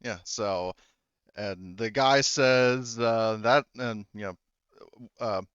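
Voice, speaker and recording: A man's voice: lowish voice, slow, a bit bright, clear, resonant tone, no echo, highs cut off. A man casually narrating a tabletop game as game master, improvising in informal, rambling, hesitant phrases.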